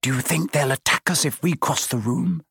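A man's voice: a little high, moderate pace, vocal fry, a bit bright, slightly rough, no room sound, studio quality, full character voice. A man voicing a blunt, sarcastic, exasperated schoolboy wizard in a storybook reading. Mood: hushed.

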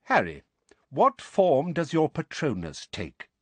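Storybook casting: youngish male wizard, acted by a man, gentle, tired, steady, nicely inflected. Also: a little high, slow, breathy edge, dry recording, voice pushed up, exaggerated voice. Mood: calm.

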